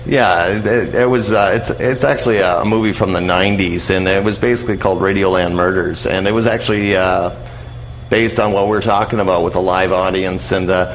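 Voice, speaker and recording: A man explaining things as an interview guest in a casual, halting, rambling way. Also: deep voice, steady tone. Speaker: interview guest, old-time radio enthusiast. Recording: muffled audio with faint background noise.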